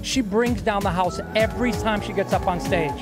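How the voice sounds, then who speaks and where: A man's voice: high-pitched, moderate pace, over loud music. A man hosting a talk show interview, effusive and enthusiastic with his praise. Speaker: host.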